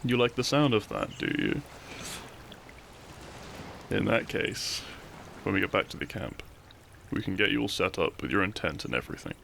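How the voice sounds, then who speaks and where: A man playing a cheeky, tender and protective young bandit outlaw in an intimate romantic audio roleplay, speaking in a gentle, courtly, teasing way. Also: deep voice, big pitch swings, moderate pace, vocal fry, a little hiss or hum, heavily acted. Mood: tender, hopeful.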